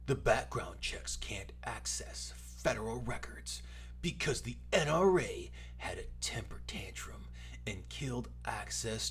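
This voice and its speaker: A man voicing a melodramatic, indignant online arguer in a theatrical, breathy dramatic reading. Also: lowish voice, fairly bright tone, exaggerated voice. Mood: grave, indignant. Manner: slow, theatrical, breathy whispering. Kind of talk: dramatic reading of social media posts. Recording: noisy recording with clear hum.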